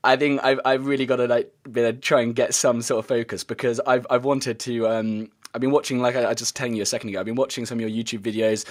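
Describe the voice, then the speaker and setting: Male podcast interviewer, medium pitch, excited and informal, often stumbling and rephrasing as he goes. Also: fast talker, few pauses. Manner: hesitant, rambling, stumbling over sentences. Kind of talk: casual enthusiastic interview.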